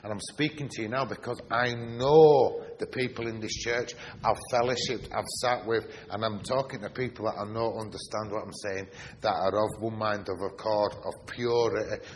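Yorkshire preacher in an echoing hall. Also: male voice, on the low side, fairly even intonation. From Yorkshire, England. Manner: emphatic, drawn-out stresses with rhetorical questions. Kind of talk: sermon. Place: church hall. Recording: reverberant hall with faint noise.

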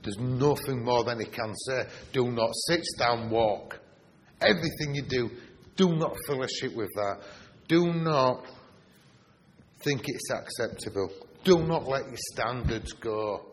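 A man's voice: mid-range voice, unhurried. A man with a Yorkshire accent preaching a sermon, stressing key words and asking the congregation rhetorical questions. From Yorkshire, England. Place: church hall. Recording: reverberant hall with faint noise.

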